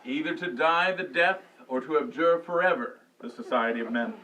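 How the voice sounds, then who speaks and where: High-voiced stately duke, acted by a man. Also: normal speed, pushed and bright, far from the mic, rough recording. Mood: grave, judging. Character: stately noble duke of Athens in a Shakespeare comedy. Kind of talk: live Shakespeare reading.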